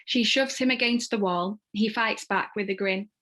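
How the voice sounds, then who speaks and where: A woman's voice: mid-range voice, normal speed, a bit bright. A woman narrating screenplay stage directions at a table read. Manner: reads screenplay action descriptively.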